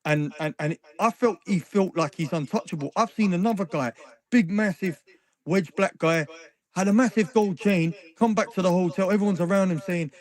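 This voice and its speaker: A man's voice: high voice, normal speed. British man telling stories with stammering restarts. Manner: stumbling storyteller, restarts sentences. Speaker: caller. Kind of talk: casual chat.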